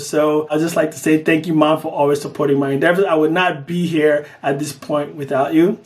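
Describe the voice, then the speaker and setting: A middle-aged man from Guyana, telling his own story in an earnest audition video. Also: a little high. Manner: earnest, lively, swings between quick bursts and slow emphasis. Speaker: artist making an audition video. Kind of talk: personal storytelling to camera.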